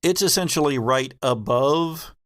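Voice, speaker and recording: A man acting as game master, narrating a tabletop horror roleplaying game in a halting, deliberate way with vivid detail. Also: on the high side, a bit bright.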